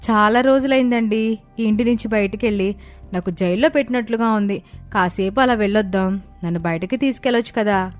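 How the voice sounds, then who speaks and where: A woman's voice: medium pitch, brisk, soft background music, close to an everyday voice. A woman playing an innocent, timid young village daughter-in-law in a dramatised family story, meek and pleading. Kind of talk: dramatised moral story acting.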